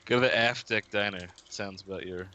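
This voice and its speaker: A man's voice: fairly low pitch, brisk, sharp tone, lo-fi sound. A man chatting casually as a player in a tabletop game. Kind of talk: casual chat. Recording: voice chat with faint keyboard clicks.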